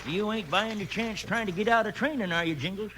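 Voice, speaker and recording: Sly old western codger, high lively voice, folksy and teasing, noisy old radio recording. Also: male voice, quick pace. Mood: needling, bossy. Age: older. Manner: folksy, sly rustic speech. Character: crafty, teasing old-timer, frontier volunteer fireman. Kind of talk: 1950s radio western acting.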